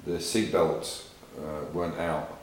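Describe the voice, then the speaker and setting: A man's voice: very deep voice, fairly even intonation, slow pace. A middle-aged English man with an English accent, chatting casually about his car work with many fillers and repeated words. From England. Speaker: car restorer vlogger. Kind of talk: casual workshop vlog. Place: garage workshop. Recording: echoey garage with faint noise.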